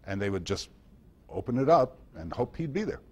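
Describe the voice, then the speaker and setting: A man's voice: medium pitch, sing-song, brisk, darkish tone. A man giving an informal history talk at a club meeting, rambling conversationally through anecdotes with wry asides.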